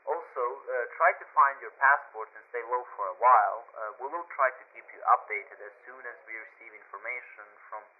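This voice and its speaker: A man's voice: mid-range voice, brisk, hardly any pauses, close to an everyday voice. A man playing an alarmed, distrustful radio co-host who gives news commentary in a hesitant but emphatic, opinionated way. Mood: grave, distrustful. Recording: tinny band-limited radio broadcast.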